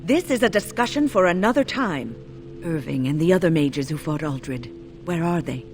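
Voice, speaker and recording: Man as higher-voiced earnest game companion. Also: lively intonation, moderate pace, close to an everyday voice. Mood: grave urgency. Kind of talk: fantasy video game acting. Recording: music under the voice.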